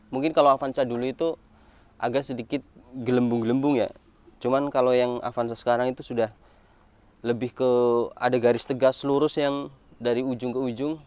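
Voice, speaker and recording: A man's voice: medium pitch, a little mellow. A man giving a casual walkaround car review, explaining things informally point by point.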